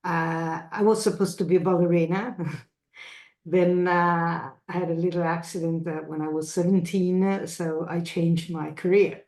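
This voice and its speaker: A middle-aged woman from Brazil, a restructuring lawyer speaking on a video call panel, talking haltingly with many fillers and restarts. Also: on the low side, flat delivery, a little mellow, roomy sound.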